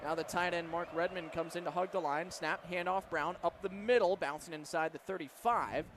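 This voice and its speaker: A man doing live football play-by-play for radio, giving crisp, detailed calls of each situation. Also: sits high, moderate pace. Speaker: play-by-play announcer. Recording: clear stadium noise behind.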